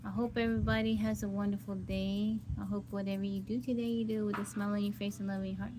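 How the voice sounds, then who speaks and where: A woman's voice: mid-range voice, little pitch movement, fast, background noise. An American woman hosting a casual livestream, greeting viewers by name and chatting about being hungry and jittery. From United States. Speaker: livestream host. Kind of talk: casual live chat with viewers.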